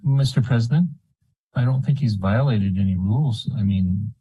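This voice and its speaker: A man with a husky voice, a board member formally raising an objection in a meeting. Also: on the low side, moderate pace, warm tone, no room sound, poor audio.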